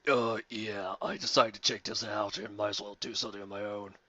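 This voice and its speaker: A man's voice: on the low side, level tone, fairly quick, bright, forceful tone, no room sound, dull audio. A man giving a casual amateur dramatic reading as a guest playing character parts.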